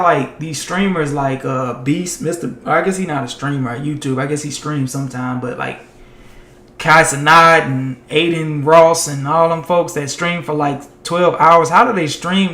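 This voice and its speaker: A man streaming tech content live, talking loosely and chatting with viewers, his voice tiring and going hoarse after hours of talk. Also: fairly high pitch, normal speed, sharp tone, echoey room. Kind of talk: casual live-stream chat.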